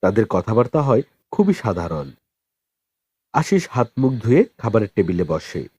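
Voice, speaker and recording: A man narrating a novel as an audiobook in a dramatic, expressive storytelling style with deliberate pauses. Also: lowish voice, husky, dry recording, clean studio sound.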